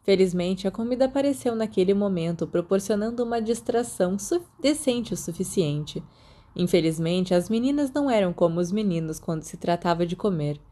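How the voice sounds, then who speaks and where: A Brazilian woman narrates fiction from a script in the manner of an audiobook. Her voice sits at a middle pitch with a mellow, relaxed tone. She reads as a storyteller, with a Brazilian Portuguese accent. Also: fairly quick. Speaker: podfic narrator.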